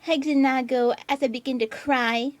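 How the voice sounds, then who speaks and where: A woman's voice: high voice, fairly even intonation, normal speed, dry recording, lightly acted. A woman voicing a whiny, aggrieved young female artist, reading out online posts in a melodramatic, complaining way. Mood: self-pitying.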